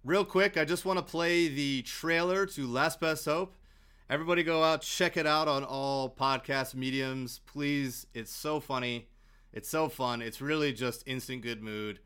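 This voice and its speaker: A man's voice: mid-range voice, unhurried, sharp tone, clear, resonant tone. A man hosting a podcast in casual chat, enthusiastic and joking. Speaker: podcast host.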